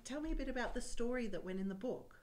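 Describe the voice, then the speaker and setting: A woman's voice: fairly high pitch, expressive, fast, noisy background. A woman, interviewing an author with effusive praise and many fillers. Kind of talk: friendly interview. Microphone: table mic on a stand.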